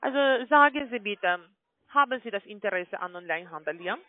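A woman with a non-native German accent gives an explanatory phone sales pitch as a call-centre agent, often adding tag questions to confirm. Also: lively intonation, fairly quick, no room sound. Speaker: call-centre agent selling online trading. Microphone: telephone call. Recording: tinny, band-limited phone line.

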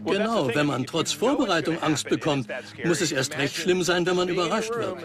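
A man's voice: fairly high pitch, lively intonation, moderate pace, crowd noise, close to an everyday voice. A man speaking scripted conversational lines in a dubbed documentary.

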